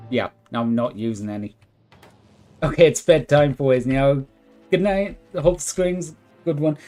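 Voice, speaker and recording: A hoarse man drawls through relaxed live game commentary, his voice worn from hours of talking. He thinks aloud at an unhurried pace and chats with viewers, with faint music playing behind him. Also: mid-range voice. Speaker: live streamer. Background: faint background music.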